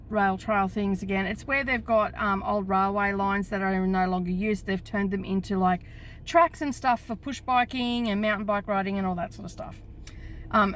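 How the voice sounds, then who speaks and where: Middle-aged aussie lady rambling to her phone, croaky in the morning, faint noise behind. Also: mid-range voice. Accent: Australian. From Australia. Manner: rambling, chatty, lots of fillers and asides. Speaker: vlogger. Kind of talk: casual chat to camera.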